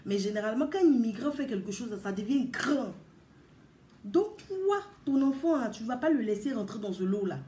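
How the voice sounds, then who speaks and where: A woman's voice: on the high side, animated, normal speed, dark tone. Earnest woman in an echoey room. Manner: earnest, emphatic, repeats words and addresses listeners directly. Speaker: vlogger giving advice to mothers. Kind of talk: casual advice talk to viewers.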